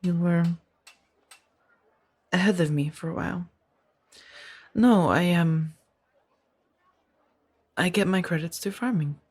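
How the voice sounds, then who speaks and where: A woman acting in a soft-spoken ASMR roleplay as a kind, conflicted former warrior monk turned farmer, hesitant and earnest. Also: on the low side, takes it slowly, pauses often, breathy edge. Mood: gentle, shy.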